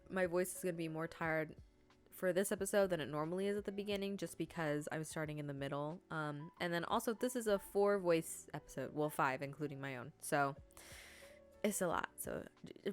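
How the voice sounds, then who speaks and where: A woman hosting a game playthrough in a chatty, rambling way, her nose stuffed up from allergies. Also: fairly low pitch. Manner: chatty and rambling, with many fillers and self-corrections. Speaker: gaming video host. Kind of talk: casual let's-play commentary.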